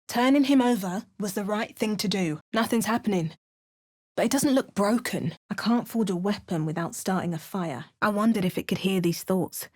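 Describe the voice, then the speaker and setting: A woman's voice: mid-range voice, lightly acted. A woman voicing a wry, battle-hardened adult adventurer who makes dry, sardonic asides and narrates her journey. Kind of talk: video game character barks and narration.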